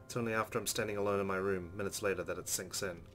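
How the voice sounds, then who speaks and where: A man's voice: on the low side, fairly even intonation, racing, a little hiss or hum. A man hosting a let's play, reading visual novel narration aloud expressively and adding his own commentary. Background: soft game music.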